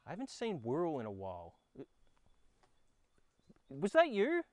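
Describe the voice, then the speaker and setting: An Australian man narrating a children's storybook in a dramatic, expressive way. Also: fairly high pitch, unhurried. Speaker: storyteller and host. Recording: background ambience and effects noise. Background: sound effects and ambience.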